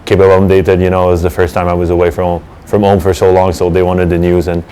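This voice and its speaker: A man in his twenties from Canada gives relaxed, conversational interview answers. His voice is low and fairly flat in pitch, with faint noise around him. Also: quick pace. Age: 20s. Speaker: student soccer player.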